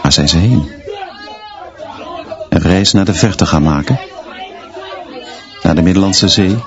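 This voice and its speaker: Sombere leraar met lage vertelstem. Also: male voice, low voice, measured pace, dull audio, soft background music, some chatter behind. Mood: brooding, bitter. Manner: terse, clipped sentences. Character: stern, brooding male schoolteacher narrating his own story. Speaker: narrator. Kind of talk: literary radio play narration, present tense.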